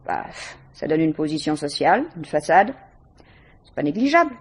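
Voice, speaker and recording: An actress playing a guarded, disdainful young student, curt and defensive, in a 1950s French radio mystery. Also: female voice, deep voice, very animated, normal speed, husky, exaggerated voice.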